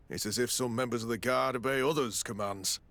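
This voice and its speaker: An actor playing a troubled, candid garrison quartermaster in a video game, speaking formally and expressively. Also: male voice, medium pitch, normal speed, vocal fry, sharp tone, dry recording. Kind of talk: dramatic video game dialogue.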